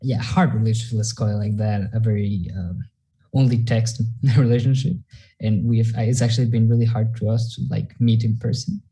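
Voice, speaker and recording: Dark, husky-voiced young man. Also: on the low side, fairly even intonation, poor audio. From Mexico. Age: young adult. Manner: halting, many fillers and "actually". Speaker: podcast host. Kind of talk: casual podcast chat.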